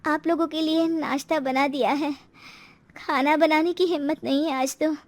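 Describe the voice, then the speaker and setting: A woman's voice: sits high, steady tone, moderate pace, natural voice. A woman voicing a meek, weary middle-aged housewife and mother in a moral story, hesitant and submissive.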